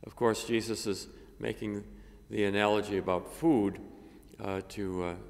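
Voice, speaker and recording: Older priest, gravelly, in a chapel. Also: male voice, on the low side, steady tone. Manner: measured, halting with many fillers. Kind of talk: gospel reading and homily. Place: cathedral chapel, at a lectern. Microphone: lectern microphone. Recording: long reverb of a chapel.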